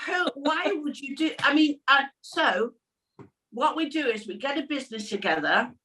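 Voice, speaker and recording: A woman's voice: high voice, expressive, normal speed, pushed and bright. A middle-aged British woman chatting casually and telling anecdotes as a co-host on an online panel show. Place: at home indoors on a video call. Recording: slightly distant video-call mic.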